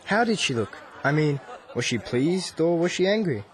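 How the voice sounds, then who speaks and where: A man's voice: a little high, big pitch swings, normal speed. An actor playing a nervous, lovestruck teenage boy in a radio drama, asking anxious, eager questions. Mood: excited, hopeful. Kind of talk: radio drama acting for English learners.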